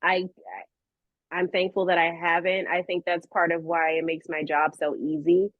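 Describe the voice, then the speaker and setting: A woman's voice: lowish voice, level tone, moderate pace, no room sound. Enthusiastic woman, dry slightly muffled sound. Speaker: guest, voice actress. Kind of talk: casual interview chat. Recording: dry, slightly dull audio.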